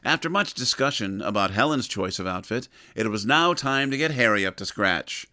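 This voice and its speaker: A man's voice: fairly low pitch, expressive, normal speed, bright, forceful tone, dry recording, studio quality. A man delivering scripted storytelling narration as the narrator of an audio drama.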